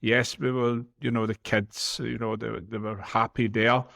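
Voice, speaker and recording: A man's voice: medium pitch, flat delivery, moderate pace, vocal fry, fairly bright tone. A man from Glasgow, co-hosting a podcast and giving plain-spoken, practical advice. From Glasgow, Scotland. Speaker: podcast co-host. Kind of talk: casual podcast chat.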